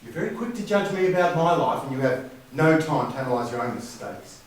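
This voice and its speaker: A man's voice: a little high, sing-song, brisk. A man playing a defensive, sarcastic brother in a stage play, articulate and indignant in his delivery. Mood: scathing. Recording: very echoey hall, distant mic, faint background ambience.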